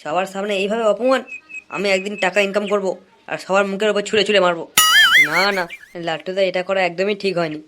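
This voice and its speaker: Man voicing whiny, fast-talking cartoon schemer. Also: high voice, fairly even intonation, very noisy, close to an everyday voice. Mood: wounded, indignant. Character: hot-headed, scheming, broke young village man, easily offended. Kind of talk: comic cartoon dialogue and muttered monologue.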